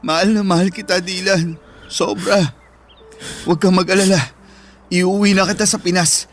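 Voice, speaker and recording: Man acting a high-voiced, anguished protective brother. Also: flat delivery, normal speed, a bit bright. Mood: tender, resolute. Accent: Filipino. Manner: emotional, pleading, earnest. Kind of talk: Tagalog radio drama acting. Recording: background music throughout.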